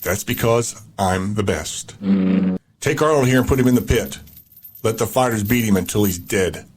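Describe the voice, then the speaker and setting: Low-voiced, smug, ruthless gang boss played by a man. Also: moderate pace, breathy edge. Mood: smug, commanding. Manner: smug, commanding, coolly threatening. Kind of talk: audio drama acting.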